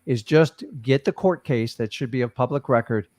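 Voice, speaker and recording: A man's voice: mid-range voice, moderate pace, a little mellow. A man hosting a coaching call, giving blunt and casual advice to students over a video call. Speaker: host and wholesaling coach.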